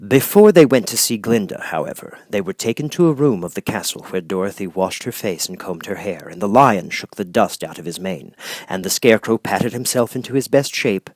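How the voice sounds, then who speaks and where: A man reading a children's storybook aloud as an expressive audiobook narrator. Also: deep voice, no room sound.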